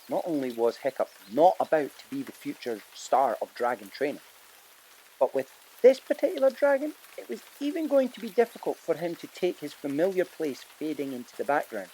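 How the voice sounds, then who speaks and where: A man's voice: mid-range voice, a little mellow, low-quality recording. Dramatic man narrating, with background noise. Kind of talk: scripted audiobook narration.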